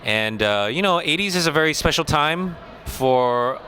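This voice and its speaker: An American man, a film director, talking through a festival interview in a relaxed, thoughtful way with many pauses and fillers. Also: a little high, a little hiss or hum. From United States.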